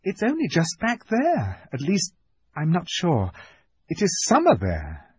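A man's voice: on the high side, wildly swooping pitch, a little slow, a little mellow, slightly rough, voice pushed up, full character voice. A man voicing a polite, innocent and puzzled young girl in a storybook, speaking simply and hesitantly. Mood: unsure, amused.

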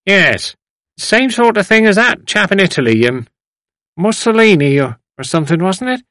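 A high-voiced, garrulous old village man. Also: big pitch swings, normal speed, a bit bright, no room sound, studio quality. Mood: rambling, reminiscing. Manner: rustic, rambling, repetitive, stumbling over words.

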